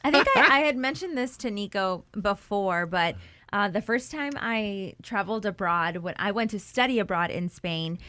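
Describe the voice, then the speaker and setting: A woman's voice: medium pitch, animated, normal speed, pushed and bright. A woman co-hosting a radio show, telling a story in a friendly way in a studio. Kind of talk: casual radio chat.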